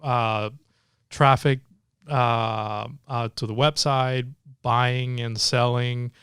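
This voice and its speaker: A man's voice: mid-range voice, flat delivery, extremely slow, fairly bright tone, clean tone, no echo, studio quality. A man in his forties from Venezuela, a tech entrepreneur telling his story in a casual interview, hesitant with many fillers and restarts.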